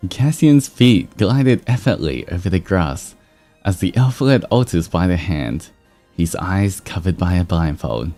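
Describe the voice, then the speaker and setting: Deep, slow-paced man with animated delivery. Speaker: livestream host reading fan fiction aloud. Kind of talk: expressive story reading mixed with casual chat.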